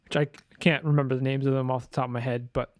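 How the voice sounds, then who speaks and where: A man's voice: mid-range voice, little pitch movement, racing, no echo, clean studio sound. A man talking casually through a screen-share tutorial as a sound designer, informal and loose, thinking aloud as he goes.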